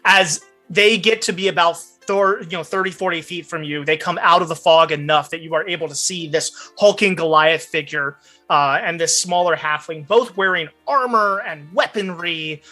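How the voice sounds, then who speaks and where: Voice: medium pitch, normal speed, bright, forceful tone, faint music behind. A game master narrating a tabletop game in a conversational, explanatory way.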